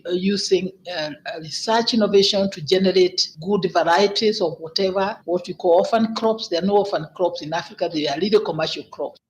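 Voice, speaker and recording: A man speaks in a recorded news clip, pausing often and searching for words. His voice sits high for a man, with faint background noise behind him. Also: rough recording. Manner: searching for words with many fillers. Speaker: agriculture interviewee.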